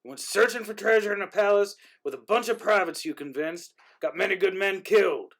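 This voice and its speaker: A man's voice: high voice, brisk, gravelly, a bit bright, exaggerated voice. A man playing an imperious military commander, cold and dismissive, in a play reading. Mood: stern, commanding. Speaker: amateur actor.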